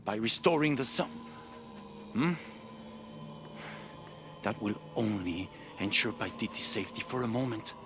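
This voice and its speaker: A man's voice: fairly low pitch, lively intonation, a little slow, long pauses, music in the background. An actor playing an ambitious male ruler of a hidden city, intense and commanding in a video game cutscene. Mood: persuasive. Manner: intense, pleading then commanding.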